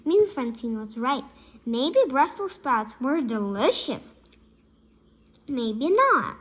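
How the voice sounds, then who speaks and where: A girl of about eight reads a storybook aloud, carefully and a little haltingly. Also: high-pitched, big pitch swings. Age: child, about 8. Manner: halting, careful reading with slips.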